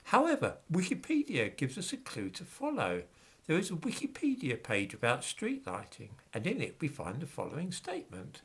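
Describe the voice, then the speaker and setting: Middle-aged man, husky voice, lively up-and-down pitch, reads quotes then scoffs at them. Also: normal speed. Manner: dismissive, sarcastic asides while reading quotes. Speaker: commentator on history books. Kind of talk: reading aloud and commenting to camera.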